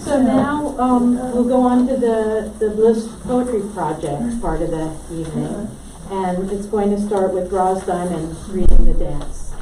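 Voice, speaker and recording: A woman's voice: medium pitch, measured pace, hardly any pauses. Echoey, distant woman hosting an event. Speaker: event host introducing poetry readers. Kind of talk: informal live event hosting. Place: roomy indoor library event. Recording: echoey, distant mic, noisy room.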